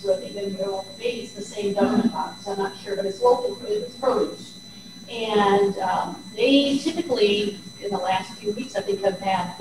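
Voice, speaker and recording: A woman panelist adding information at a community forum, tentative and qualifying her words. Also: mid-range voice. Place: large community hall. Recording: echoey hall, distant with hum.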